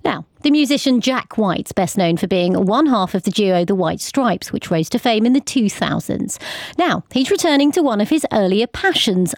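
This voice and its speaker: A woman's voice: mid-range voice, darkish tone, dry recording, studio quality. A woman presenting live radio news and interviews in a conversational, lightly amused manner.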